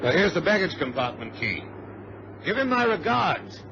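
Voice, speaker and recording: Radio drama co-pilot: questioning, skeptical, somewhat high voice, muffled vintage sound with clear noise. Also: male voice, sing-song, normal speed, bright, forceful tone, full character voice. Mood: curious. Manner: questioning, protesting. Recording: noisy, dull vintage radio recording.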